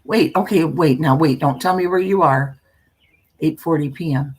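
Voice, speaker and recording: An older woman with a husky voice and a folksy, meandering manner, talking as a storyteller hosting her own live stream. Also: low-pitched, normal speed, darkish tone. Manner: folksy, meandering, often trailing off and repeating. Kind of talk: casual rambling chat with viewers; reading a spooky story aloud.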